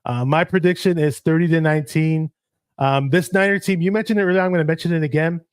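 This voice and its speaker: A man whose first language is Arabic, co-hosting a casual sports livestream and shouting out viewers in the chat. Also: on the high side, fairly even intonation, fast, clear, resonant tone, no echo, studio quality. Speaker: podcast co-host.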